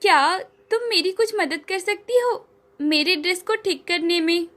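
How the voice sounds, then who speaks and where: A woman's voice: very high-pitched, unhurried, fairly bright tone. A woman voicing a dramatic, well-off young city woman in a cartoon story, gushing and dropping English words. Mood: urgent.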